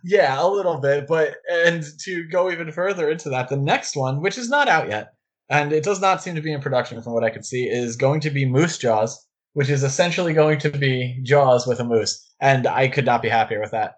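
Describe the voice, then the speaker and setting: Male podcast co-host, mid-pitched, chatty and enthusiastic film talk with rambling asides. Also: moderate pace. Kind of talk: casual film discussion.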